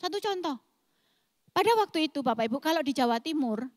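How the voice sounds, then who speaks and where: A woman's voice: high-pitched, expressive, brisk, no echo, studio quality, in a large hall. A woman in her forties with a thick East Javanese accent, preaching a sermon as a pastor in an emphatic, explosive way. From East Java, Indonesia. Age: 40s. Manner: emphatic, explosive delivery addressing the congregation.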